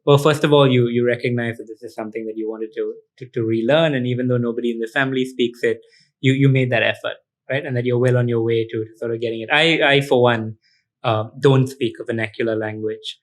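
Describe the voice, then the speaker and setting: A male interview host from India who talks at a rapid clip, tumbling through restarts and little asides. His pitch sits in an ordinary middle range, and his tone stays conversational and encouraging. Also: steady tone. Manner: quick, chatty, with frequent restarts and affirming asides. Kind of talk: casual interview.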